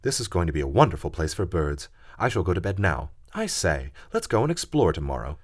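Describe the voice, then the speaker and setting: Confident eldest brother read by a man, storybook boy, brisk and hearty. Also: sits low, big pitch swings. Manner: brisk, hearty, well-spoken.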